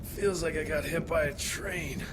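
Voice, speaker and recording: An actor playing a terse, sarcastic monster hunter. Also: male voice, on the high side, unhurried, heavy background noise, natural voice. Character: gruff sardonic male monster hunter.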